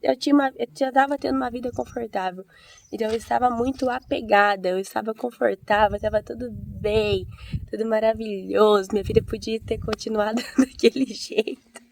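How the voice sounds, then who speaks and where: A hoarse woman with a Brazilian accent who has a cold, telling her story in an earnest, chatty vlog as a church-minded host. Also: on the high side, steady tone, brisk, darkish tone, slight background noise. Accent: Brazilian Portuguese. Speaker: evangelical video host. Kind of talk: casual testimony vlog.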